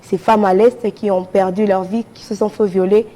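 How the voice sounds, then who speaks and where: A Congolese woman speaks as a guest in a TV studio. Her voice is dark and relaxed at a medium pitch. She makes an earnest appeal, restating her phrases as she goes. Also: normal speed. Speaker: charity association representative, TV guest.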